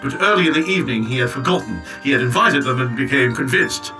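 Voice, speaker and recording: A man playing a loyal clockwork servant who tells a story in a formal, measured, precise way in an audio drama. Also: medium pitch, expressive, far from the mic, music in the background, natural voice, rough recording. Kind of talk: full-cast audio drama narration.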